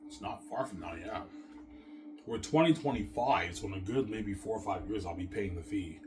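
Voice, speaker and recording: A man in his thirties with a lowish voice talks straight to his audience, circling back over the same points and tripping over his own restarts. He sounds sure of himself throughout. Music plays clearly underneath him. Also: moderate pace. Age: 30s. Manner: repetitive, self-assured, restarts often. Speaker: vlogger. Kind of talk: rambling monologue to viewers.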